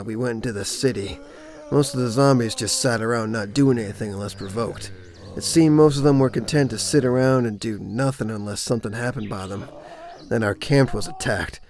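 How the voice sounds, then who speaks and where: A man's voice: mid-range voice, a little hiss or hum, natural voice. A man playing a level-headed cop surviving a zombie apocalypse in a comic dub drama. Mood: explaining.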